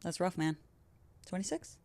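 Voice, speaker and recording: A woman's voice: on the low side, very animated, normal speed, darkish tone, no room sound. A woman in her twenties hosting her own podcast, chatting casually and rambling with a self-deprecating tone, recorded in her home garage studio. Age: 20s. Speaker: podcast host. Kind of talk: casual solo podcast monologue.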